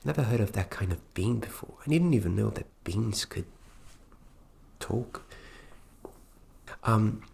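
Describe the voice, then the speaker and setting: A performer voicing a flustered, kindly fairy magic specialist in a fantasy ASMR roleplay, speaking softly and hesitantly, often dropping into a whisper. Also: mid-range voice, animated, creaky, a little hiss or hum. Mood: curious, puzzled. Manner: hesitant, halting, repeats words, often whispering.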